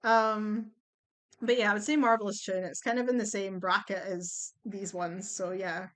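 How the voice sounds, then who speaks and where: A young woman chatting bluntly as she ranks songs at home on her computer, her voice going a little hoarse. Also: medium pitch, fairly even intonation, normal speed, fairly bright tone. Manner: chatty, blunt, swears and laughs easily. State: losing her voice. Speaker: vlogger ranking songs. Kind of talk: casual chat.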